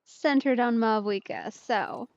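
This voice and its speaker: Young female let's-play host, higher register, chatty and playful, casual delivery on a dry, slightly muffled close mic. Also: slow, smooth, dry recording. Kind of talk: casual gameplay commentary.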